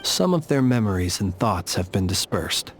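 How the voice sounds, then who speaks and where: A man voicing a solemn, gentle keeper of the dead in a video game, speaking with formal, measured eloquence. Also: on the low side, big pitch swings, slight background noise. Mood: calm, gracious. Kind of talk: scripted video game voice acting.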